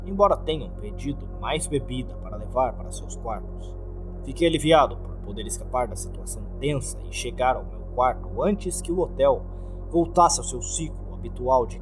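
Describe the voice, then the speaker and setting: A man with a Brazilian accent giving dramatic scripted narration of a horror story. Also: mid-range voice. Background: background music.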